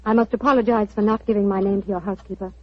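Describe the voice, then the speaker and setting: A woman's voice: medium pitch, steady tone, very fast, breathy edge, close to an everyday voice. An actress playing an anxious young woman in mourning, earnest and pleading, in 1940s radio acting. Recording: dull old radio recording.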